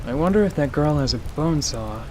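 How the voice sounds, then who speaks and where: A man playing a gruff, self-doubting adventurer and hunter in a fantasy audio drama, speaking in a hesitant, earthy way. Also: a little high, brisk, slight background noise, close to an everyday voice. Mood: weary. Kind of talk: fantasy radio drama acting. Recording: steady rain and stream ambience behind.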